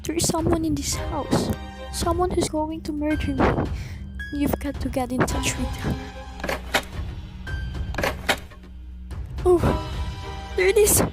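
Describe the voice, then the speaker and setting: A woman's voice: high voice, moderate pace, loud music behind the voice, close to an everyday voice. A woman playing an anxious, demanding invalid wife in a radio play, fretful and insistent. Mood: terrified, pleading.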